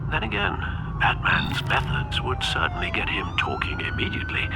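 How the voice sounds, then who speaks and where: An actor playing a loyal older butler in a video game, a formal, cautious and articulate advisor. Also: male voice, fairly low pitch, background noise, heavily acted. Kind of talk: dramatic video game acting.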